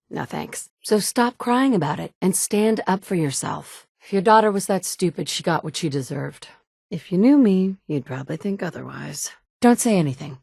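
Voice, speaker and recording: Tough guarded survivor woman for a game, deep voice, matter of fact, no echo at all. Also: vocal fry, darkish tone, studio quality. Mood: scornful. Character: determined, guarded woman survivor, a searching mother. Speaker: voice actor for a video game player character. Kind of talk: video game dialogue lines.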